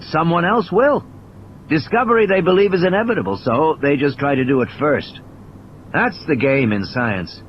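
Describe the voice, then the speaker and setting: A man's voice: medium pitch, highs cut off, slight background noise, natural voice. A man playing an injured, cynical and irritable scientist in an audio play, ranting emphatically in philosophical, insistent repetitions.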